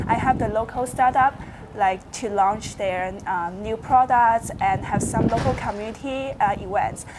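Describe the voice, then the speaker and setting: Higher-voiced young Chinese woman, hesitant delivery. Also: noisy background. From Guangzhou, China. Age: 20s. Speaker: student interviewer. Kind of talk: casual on-camera interview.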